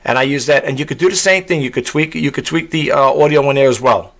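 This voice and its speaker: Man, gravelly, monotone, rambling casual tutorial talk, lots of ums and restarts. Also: medium pitch, fast. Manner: rambling, many fillers and restarts. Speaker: content creator.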